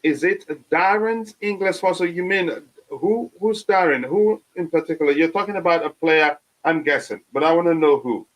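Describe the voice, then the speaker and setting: A man, hosting a live fan stream and reading viewer comments, halting with many fillers, his voice still touched by a cold. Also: a little high, rough recording. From Nigeria. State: recovering from a cold. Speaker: fan channel host.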